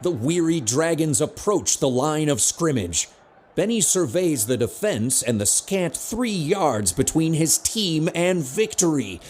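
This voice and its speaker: A man's voice: fairly high pitch, big pitch swings, a little slow, a little mellow, husky. A man narrating an audio drama in a scripted, dramatic storytelling style.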